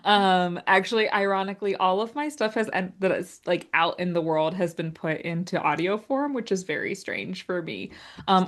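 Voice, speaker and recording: A woman with a slightly low voice talks casually as a podcast guest. She is self-deprecating and easily amused, speaking like a writer chatting about her work. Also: vocal fry, fairly bright tone. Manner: laughs often, self-deprecating. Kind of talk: casual chat.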